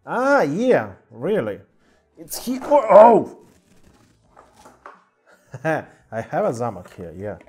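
A man with a Russian accent casually chatting on a live stream in halting English, with many fillers. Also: a little high, huge pitch swings, darkish tone, breathy edge, soft background music. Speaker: streamer showing toy car collection. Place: indoor room with cluttered table. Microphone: webcam mic.

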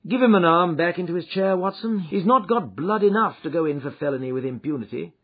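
A man voicing a sharp, commanding male detective who speaks briskly and forcefully. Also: on the high side, expressive, breathy edge, voice pushed up. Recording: dull lo-fi recording.

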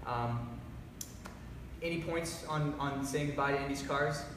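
A man's voice: medium pitch, unhurried. A young man hosting a live company announcement, upbeat and presenter-like, speaking in a large garage showroom. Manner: upbeat, presenter-like, reads out viewer comments. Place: large echoey garage showroom with cars, indoors. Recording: echoey room from far off, background noise.

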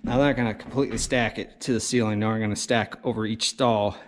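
A man's voice: lowish voice, quick pace. Plainspoken man filming in a barn. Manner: relaxed, plainspoken, with frequent "uh" fillers. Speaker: homestead vlogger. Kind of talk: casual chat while working. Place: large metal horse barn with a loft. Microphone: action camera on body and selfie at arm's length. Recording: noisy barn recording.

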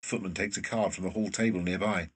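Husky guy narrating a script, lowish voice, bit far from the mic. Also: fairly even intonation, fast. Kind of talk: scripted narration of stage directions.

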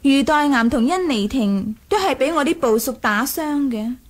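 A young noblewoman with a high voice, in the style of 1980s radio drama. She is proud and willful, coy one moment and imperious the next, with a ruthless, teasing edge. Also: lively intonation, moderate pace. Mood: probing, insistent.

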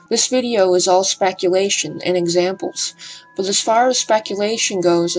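A woman's voice: on the low side, rough recording. Hesitant, slightly breathless woman narrator. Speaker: documentary narrator. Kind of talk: scripted voice-over narration.

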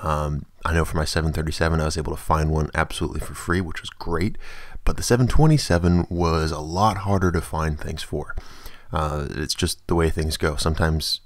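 A low-pitched man hosts an informal software tutorial. He explains each step in a casual, conversational manner. The voice-over is dry and close to the mic, with very little room sound. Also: steady tone, fairly quick, no room sound. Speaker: tutorial host.

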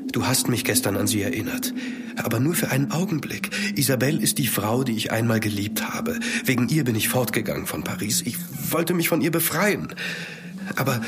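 A man acting an ardent, courteous gentleman traveller in a German gothic audio drama, earnest and measured. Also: medium pitch, animated, background noise, natural voice. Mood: ardent, pleading.

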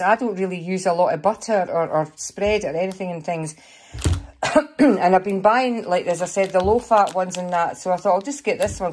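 A middle-aged Scottish woman chatting casually over a grocery haul in her home kitchen, rambling a little, slightly hoarse. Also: fairly low pitch, darkish tone, a little hiss or hum. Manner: chatty, rambling, with fillers and small laughs. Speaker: vlogger showing a grocery haul. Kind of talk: casual chat to camera. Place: kitchen counter at home, indoors.

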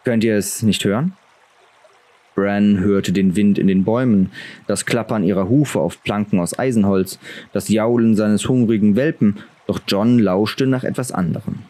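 A man's voice: lowish voice, moderate pace, dark tone. A man narrating a fantasy audiobook from a script.

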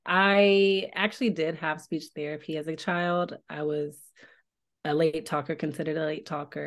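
An American woman telling her story casually as a podcast interview guest. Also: on the low side. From Tulsa, Oklahoma, United States. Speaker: podcast guest, speech-language pathologist.

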